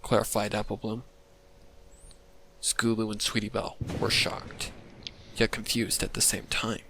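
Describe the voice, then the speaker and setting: A man narrating a scripted dark mystery story as a dramatic reading. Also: on the low side, completely flat delivery, moderate pace, noisy background. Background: thunder and rain effects.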